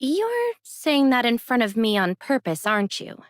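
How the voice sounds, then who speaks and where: A young heroine from an anime game. Her voice sits a bit high and is closely recorded. She talks casually and kindly, with gentle teasing. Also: sing-song, moderate pace, dry recording, clean studio sound. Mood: friendly. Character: easygoing young heroine, friendly and gently wry.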